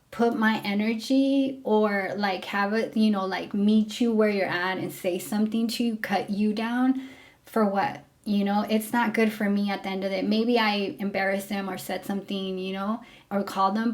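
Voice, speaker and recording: A young woman from Mexico talking candidly to camera, frank and full of fillers, about her time as a driver. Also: mid-range voice. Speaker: former rideshare driver. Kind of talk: casual storytelling to camera.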